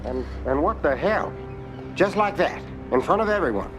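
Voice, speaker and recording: A man's voice: fairly high pitch, animated, moderate pace, lightly acted. Blustering, worried crooked politician, man acting, music behind. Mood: exasperated. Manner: blustering, questioning. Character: agitated, worried male senator in mob's pocket. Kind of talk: dramatic game cutscene acting.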